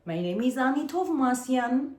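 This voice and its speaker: A woman in her forties from Armenia, with an Armenian accent and Armenian as her first language, talking to the camera in a personal vlog, pausing often and searching for her words. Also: high-pitched, animated, a little mellow, echoey room. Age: 40s. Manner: hesitant, searching for words, frequent fillers. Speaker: singer and channel host.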